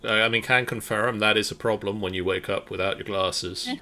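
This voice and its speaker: Low-voiced man commentating casually. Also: steady tone, brisk, pushed and bright, soft background music. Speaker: co-host of a game stream.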